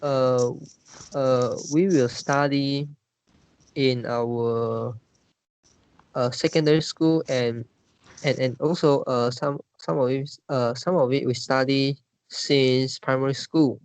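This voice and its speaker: Malaysian English man, mid pitch, halting delivery with many fillers, dry close recording. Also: fairly even intonation, smooth, dry recording, low-quality recording. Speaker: discussion leader. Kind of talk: casual discussion.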